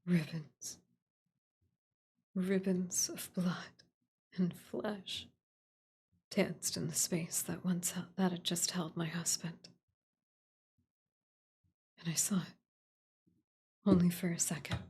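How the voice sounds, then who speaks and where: A woman's voice: fairly low pitch, fairly even intonation, a little mellow, exaggerated voice. Woman acting a terrified, whispering horror survivor. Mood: hushed dread. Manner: halting, breathy whispering, drawn-out words. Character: terrified woman camper recounting a horror, possessed by fear. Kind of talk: horror radio play monologue.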